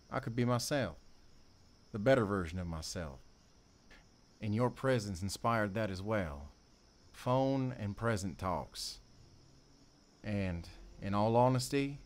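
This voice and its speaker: A man playing a sardonic, wounded ex-convict with a soft heart in an emotional audio drama. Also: on the low side, expressive, measured pace, long pauses. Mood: earnest, confessional.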